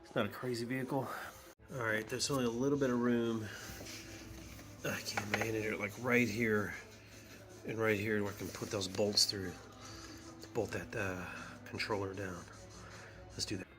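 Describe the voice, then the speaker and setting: A man's voice: lowish voice, level tone, moderate pace, pauses often. A middle-aged man narrating a home repair step by step, casual and joking as he thinks aloud while working in his garage. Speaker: DIY video host.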